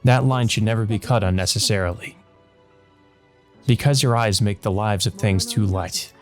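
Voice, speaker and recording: A man reads the part of a woman wizard who mentors a child in a visual novel. The delivery is calm, confident and reassuring, like a lesson being given. Music runs behind it. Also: lowish voice, normal speed, natural voice. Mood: calm instructing.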